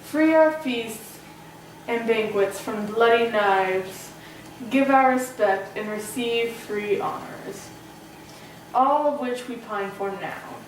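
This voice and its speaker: A woman playing a nobleman who delivers grave news in a measured, solemn way. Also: a little high, heavy reverb, recorded from a distance, slight background noise, rough recording. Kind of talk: amateur Shakespeare performance.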